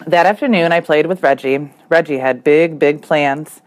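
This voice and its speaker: A woman teacher reading a children's story aloud expressively, with dramatic emphasis and deliberate phrasing. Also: low voice. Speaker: third grade teacher.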